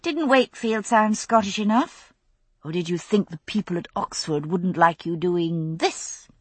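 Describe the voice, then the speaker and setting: A woman voicing a sharp-tongued young woman. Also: lowish voice, measured pace, husky, no echo. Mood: wry.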